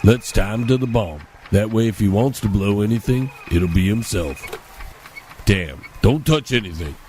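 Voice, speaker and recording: A man's voice: fairly low pitch, moderate pace, a little mellow, slight background noise, natural voice. An actor playing a grumbling, exasperated male sidekick who bickers and blames in a comedy. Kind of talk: comic bickering.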